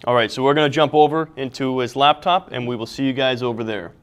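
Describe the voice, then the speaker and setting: A man's voice: medium pitch, fast, slight background noise. A man interviewing, mostly with short, eager reactions. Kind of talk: casual interview.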